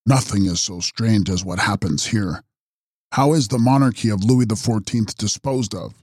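A man voicing a mysterious, ageless male count who makes grave, measured prophecies in an audiobook. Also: lowish voice, darkish tone, breathy edge, no room sound, clean studio sound. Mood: lamenting. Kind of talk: audiobook character reading.

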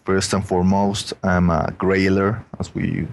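A man's voice: sits low, flat delivery, a little slow, creaky, a little hiss or hum. A man with a Mexican accent, a guest chatting casually on a podcast in a rambling way, with many "you know"s.

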